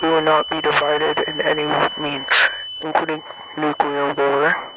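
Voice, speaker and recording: Male emergency announcer, highish pitch, completely flat and solemn, buried in loud hum and alarm-like noise. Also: unhurried.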